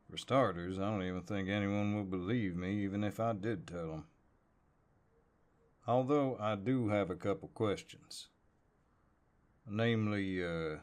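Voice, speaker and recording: A man acts out a handsome cowboy neighbor with a low Southern drawl. He speaks very slowly and hesitantly, polite but gently teasing, as in an intimate audio roleplay. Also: lightly acted. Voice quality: deep voice. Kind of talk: audio roleplay, intimate one-sided dialogue.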